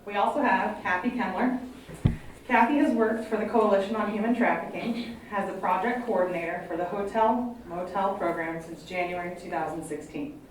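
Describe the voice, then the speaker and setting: A woman's voice: medium pitch, normal speed, a little hiss or hum. Woman, college counseling director, event host, friendly, apologetic, echoey room, distant mic. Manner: friendly, apologetic, reads prepared bios. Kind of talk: event introductions to an audience. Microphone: handheld microphone.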